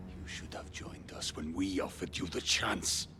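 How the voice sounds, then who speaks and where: An actor playing a proud, hostile Norse slaver in a video game, gruff and grudging. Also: male voice, sits low, measured pace, over music. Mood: bitter, threatening. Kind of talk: dramatic video game acting.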